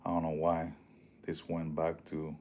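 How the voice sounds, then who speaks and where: A man's voice: extremely low pitch, monotone, unhurried, darkish tone, slight background noise. A man with a strong Puerto Rican accent hosting a casual livestream, rambling, swearing freely and answering viewer comments. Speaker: livestream host.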